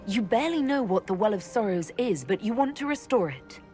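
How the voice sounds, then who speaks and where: A woman's voice: mid-range voice, animated, brisk, a little mellow, faint music behind, close to an everyday voice. An actress playing a blunt, skeptical woman adventurer in a fantasy game, talking in a plain, wry way.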